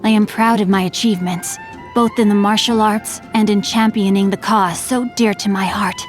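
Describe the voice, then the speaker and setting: A woman's voice: mid-range voice, steady tone, moderate pace, soft background music, close to an everyday voice. A woman voicing a refined, bookish young nobleman in a video game, youthful, polite and eloquent, with a mischievous, chivalrous streak. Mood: earnest, proud. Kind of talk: scripted video game character lines.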